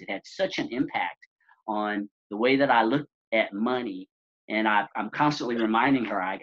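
A middle-aged man hosting a podcast interview over a video call, with a measured, polished delivery. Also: on the low side, fairly bright tone. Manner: measured, polished, some fillers. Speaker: podcast host. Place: office room with plain wall. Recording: dull lo-fi call audio.

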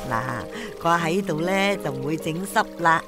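A woman's voice: fairly low pitch, moderate pace, natural voice. Doting granny for radio drama, played by a woman: fussy, patient, coaxing, music underneath. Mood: fussing, caring. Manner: coaxing, repeats herself soothingly. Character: doting elderly grandmother, patient and fussing. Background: music behind the voice.

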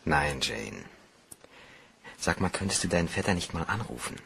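An actor playing an anxious, irritable bank cashier with a guilty secret in a German crime radio play, nervy and brooding. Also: male voice, low-pitched, lively intonation, normal speed, creaky, a bit bright, a little hiss or hum, exaggerated voice. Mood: irritated.

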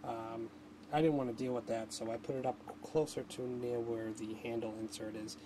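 A man's voice: medium pitch, flat delivery, moderate pace, noisy background. A man thinking aloud in a casual, unscripted how-to narration, like a hobbyist at his workbench. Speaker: hobbyist host demonstrating a radio modification. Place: indoor home workshop, at a workbench.

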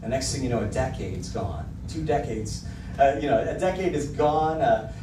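A man's voice: medium pitch, animated, normal speed, noisy background. A middle-aged man preaching a sermon into a handheld mic, reading song lyrics aloud with emphasis. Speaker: pastor. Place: church hall with projector screen. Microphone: handheld mic, filmed from the back of the room. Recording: echoey hall, mic heard from a distance.

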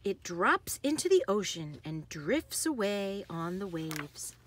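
Animated, slow-paced woman reading a picture book. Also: mid-range voice, a little hiss or hum. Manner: animated, emphasizing action words. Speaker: read-aloud host. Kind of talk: expressive read-aloud of a children's picture book.

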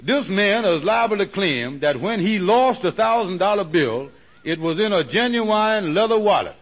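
Sly radio-comedy schemer: high, lively pitch, insistent wheedling, muffled vintage sound. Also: male voice, moderate pace. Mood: sly, persuasive. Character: fast-talking scheming man, persuasive and sly. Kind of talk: 1940s radio comedy acting.

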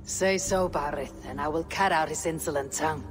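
A woman's voice: low-pitched, normal speed, over music, lightly acted. A woman playing a bold, blunt, resolute female Viking warrior in a dramatic video game cutscene. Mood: firm, resolute. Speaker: game protagonist.

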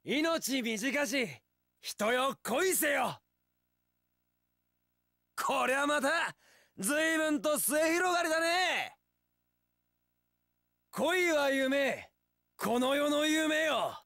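A breezy young game swordsman, high voice, drags words out theatrically, romantic brawler type. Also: animated, very slow, long pauses, pushed and bright, no room sound, clean studio sound, full character voice. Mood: playful swagger. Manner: theatrical, breezy, casual swaggering speech. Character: young carefree male wandering swordsman, flamboyant, playful romantic brawler. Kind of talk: Japanese action game voice acting.

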